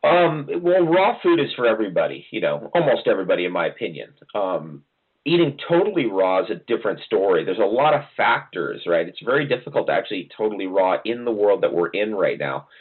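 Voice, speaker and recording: A man's voice: lowish voice, very animated, brisk, husky. A middle-aged man from New Jersey, a guest talking casually and rambling with many ums and restarts. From New Jersey, USA. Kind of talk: casual interview answers. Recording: phone-like narrow audio.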